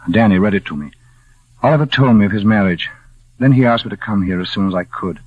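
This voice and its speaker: Husky, courteous older-style gentleman musician. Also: lowish voice, expressive, quick pace, no room sound. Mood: calm, courteous. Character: courteous, perceptive male concert musician, family friend. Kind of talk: 1940s radio drama acting. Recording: muffled vintage radio recording.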